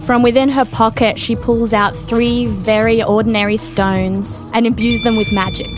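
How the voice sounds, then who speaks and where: A woman's voice: fairly high pitch, fairly even intonation, moderate pace. A woman playing a tabletop game, describing her character's actions in playful, improvised storytelling. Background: background music.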